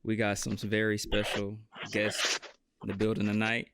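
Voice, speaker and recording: A man's voice: fairly low pitch, completely flat delivery, normal speed, a bit bright, slight background noise. A man hosting a casual podcast interview, laid-back and slangy. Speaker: podcast host. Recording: dull lo-fi audio.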